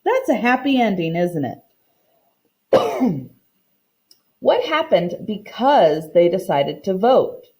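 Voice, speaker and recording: A woman reads a children's story aloud in a teacherly, deliberate way. Her voice is soft-edged and mellow, with very lively pitch movement and a slow, careful pace. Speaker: teacher reading a picture book aloud. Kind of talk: expressive storybook read-aloud with comprehension questions.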